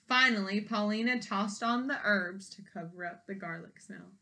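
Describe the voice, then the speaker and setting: A woman reading a children's story aloud expressively, pausing in a teacherly way to ask young listeners simple questions. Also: medium pitch, pushed and bright. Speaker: storybook read-aloud reader.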